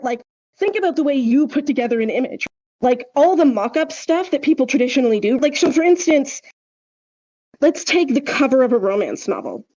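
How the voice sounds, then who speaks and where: A woman's voice: high voice, mellow, lo-fi sound. A woman co-hosting a casual podcast discussion, explaining her points emphatically and often checking with a tag question. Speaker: podcast co-host.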